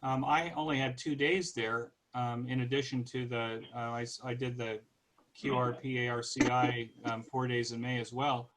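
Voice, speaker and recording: North American man, very even intonation. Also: medium pitch, low-quality recording. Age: over 50. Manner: halting, pausing to search for words. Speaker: podcast host giving a club presentation. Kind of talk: informal explanatory presentation.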